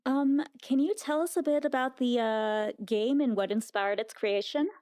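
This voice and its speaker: A woman interviewing a game developer, talking in a casual, admiring way with halting restarts. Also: on the high side, mellow, smooth, dry recording, studio quality.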